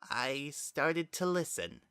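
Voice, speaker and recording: Narrator voicing an eerily serene, high-pitched possessing child spirit. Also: big pitch swings, pushed and bright, smooth, no echo, clean studio sound, heavily acted. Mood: bitter, intense. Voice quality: maddened, hollow tone. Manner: slow, theatrical, coaxing monologue with deliberate pauses. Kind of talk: dramatic reading of fan fiction.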